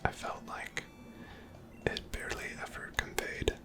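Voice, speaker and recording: Soft whispered ASMR game commentary. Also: measured pace, loud music behind the voice. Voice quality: breathy, unvoiced whisper. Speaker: video game streamer narrating own playthrough.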